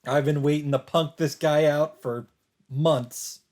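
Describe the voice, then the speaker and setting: Mellow-voiced man reading a game aloud. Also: a little high, steady tone, slow pace. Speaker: let's player. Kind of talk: reading visual novel narration aloud.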